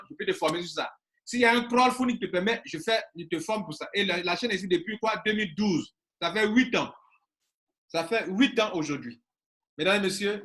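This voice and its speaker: A man in his forties speaks to his audience as a business coach. His voice sits high for a man and rings bright and forceful. He drives points home by repeating them. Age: 40s. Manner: emphatic, repeating phrases for stress. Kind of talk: casual talk to viewers.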